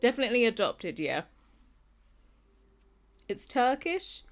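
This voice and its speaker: A woman's voice: a little high, very animated, slow, soft background music. A young British woman chatting casually with her livestream viewers. She rambles, laughs often and sometimes loses her train of thought. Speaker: streamer.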